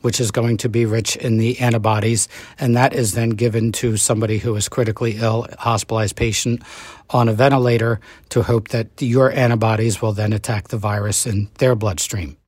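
A man's voice: on the low side, level tone, a little fast, a little mellow, slightly rough, dry recording. A male doctor explaining a medical treatment in plain, explanatory terms. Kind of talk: expert explanation.

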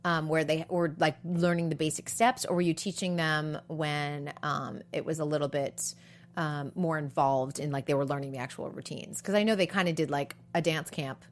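A woman's voice: low-pitched, fairly even intonation, moderate pace. A woman hosting a casual interview, asking eager, bubbly questions. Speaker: interview host.

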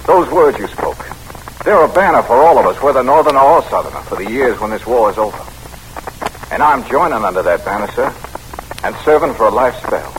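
A man playing an earnest, battle-scarred army captain, respectful and halting, in 1940s radio drama style. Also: medium pitch, lively intonation, natural voice. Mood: earnest, humble. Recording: faintly noisy old radio broadcast.

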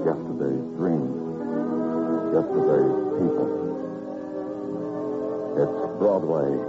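An actor playing a hardboiled, world-weary police detective, terse and dry, in an old radio crime drama. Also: male voice, rumbling low. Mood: brooding. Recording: dull old radio recording.

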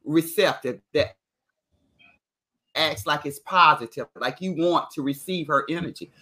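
A woman's voice: low-pitched, unhurried, bright, forceful tone. A woman talking casually in a group discussion, chiming in and sharing her view. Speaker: panelist on a TV recap livestream. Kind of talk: casual agreeing group chat.

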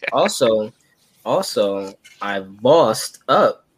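A man's voice: lowish voice, very animated, drawn-out words, slightly rough, poor audio. A young man co-hosting a podcast in casual comedic chat, joking around with playful chants. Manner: joking, playful chants and sudden loud bursts. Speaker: podcast co-host.

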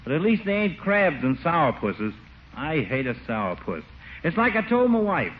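An actor playing a blunt, slangy, opinionated small-town barber in an old radio comedy, repeating himself for emphasis. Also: male voice, a little high, very animated, normal speed. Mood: emphatic, folksy. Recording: muffled, noisy vintage radio recording.

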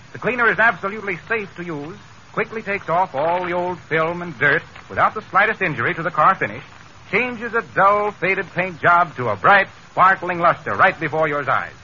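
A man's voice: a little high, sharp tone. An American man announcing commercials on 1930s radio with a polished, emphatic sales delivery. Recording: muffled, noisy vintage radio broadcast.

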